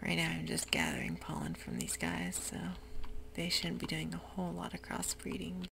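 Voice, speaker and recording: A woman whispering soft, hushed ASMR narration over gameplay at home, with frequent ums, as keyboard clicks sound behind her. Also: deep voice, wildly swooping pitch, moderate pace, creaky, slight background noise. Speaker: ASMR gaming creator.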